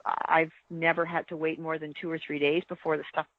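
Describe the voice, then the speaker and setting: A woman's voice: sits low, level tone, brisk, dry recording, narrow, tinny audio. A woman speaking earnestly and emphatically from experience, giving practical advice in a casual panel chat. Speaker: podcast guest, experienced hunter.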